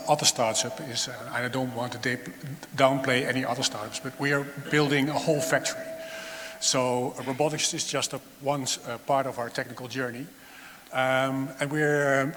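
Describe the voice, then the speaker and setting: A man's voice: mid-range voice, sharp tone. A man, a startup co-founder, answering interview questions on stage with frequent hesitations. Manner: hesitant, many uh fillers. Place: large event hall on stage. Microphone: throwable catch box mic. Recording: hall reverb with faint room noise.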